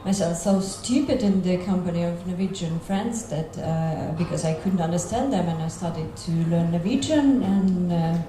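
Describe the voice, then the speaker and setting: A woman's voice: on the low side, normal speed, hardly any pauses, warm tone. A woman with a Russian accent introducing herself on a panel, hesitant with many fillers. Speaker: panelist journalist. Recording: echoey hall, audible noise.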